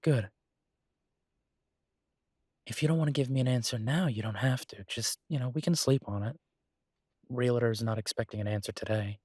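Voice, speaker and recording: A man playing a devoted, easygoing chef and reassuring partner in an intimate audio roleplay, speaking softly and haltingly with a husky voice. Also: mid-range voice, no room sound, clean studio sound, lightly acted. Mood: tender, reassuring.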